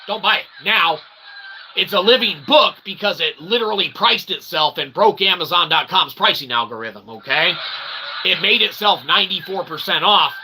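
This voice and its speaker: A man's voice: fairly high pitch, bright, forceful tone, slight background noise, low-quality recording. A man hosting a livestream in a rambling monologue, wordy and mock-formal, repeating himself emphatically with tag questions. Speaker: livestream host and self-published author.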